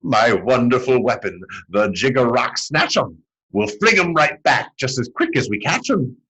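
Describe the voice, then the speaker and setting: A man's voice: medium pitch, big pitch swings, moderate pace, a bit bright, slightly rough. A man voicing a mean, rude, taunting storybook villain with a snarling, menacing delivery. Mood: snarling threat.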